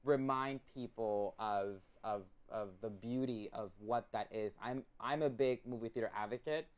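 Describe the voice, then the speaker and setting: A man's voice: on the low side, normal speed, clean tone. A young man from Puerto Rico, a documentary filmmaker, chatting eagerly on a video call with lots of restarts and fillers. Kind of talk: casual interview chat. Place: home room full of collectibles. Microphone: video call webcam.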